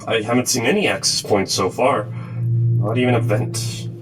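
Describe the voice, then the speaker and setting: A man's voice: medium pitch, moderate pace, over music, close to an everyday voice, poor audio. A man playing a curious, persistent tunnel explorer in an audio drama. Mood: curious, wary.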